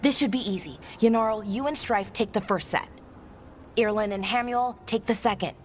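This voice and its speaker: Casting: an actress as a friendly, easily flustered female game mage, light higher voice, keen and talkative. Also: moderate pace, slight background noise, natural voice. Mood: brisk, friendly. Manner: chatty, eager. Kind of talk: video game cutscene acting.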